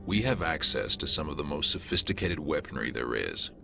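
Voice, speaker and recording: An actor playing a hard-nosed alien police detective in a video game, brusque and pragmatic, with clipped delivery. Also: male voice, extremely low pitch, expressive, brisk, vocal fry, music in the background, heavily acted.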